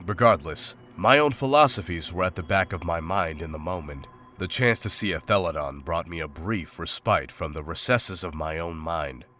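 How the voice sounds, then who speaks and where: A man's voice: low-pitched, lively intonation, normal speed, hardly any pauses, bright, forceful tone. A man playing a stoic, scholarly monster hunter in a visual novel, speaking in a formal, composed, literary way. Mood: composed, reflective. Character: stoic, scholarly male monster hunter, calm and matter-of-fact. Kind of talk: scripted visual novel drama and journal narration.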